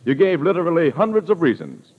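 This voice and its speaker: Old time american radio announcer guy, highish voice, big swooping delivery, polished sponsor reads, muffled recording. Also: huge pitch swings, fast, slightly rough. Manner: polished, declamatory. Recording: dull old radio recording, music behind.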